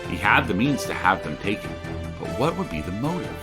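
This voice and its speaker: An actor playing an earnest, probing true-crime podcast host, narrating and interviewing in a measured, serious way. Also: male voice, on the low side, big pitch swings, loud music behind the voice.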